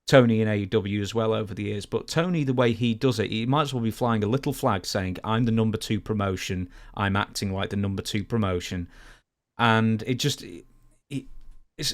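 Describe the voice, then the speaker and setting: Lower-voiced male podcast co-host. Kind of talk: casual news recap and commentary.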